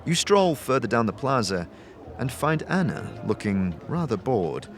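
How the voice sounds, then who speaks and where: A man reading scripted second-person fantasy narration as an expressive storyteller. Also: mid-range voice, a little slow. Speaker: narrator, game master. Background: music.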